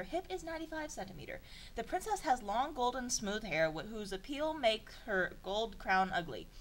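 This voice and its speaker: A woman's voice: fairly high pitch, fairly bright tone, a little hiss or hum, rough recording. A woman doing an informal dramatic reading of a story, with sardonic, exasperated delivery and casual asides. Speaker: narrator of a comedic fan fiction reading.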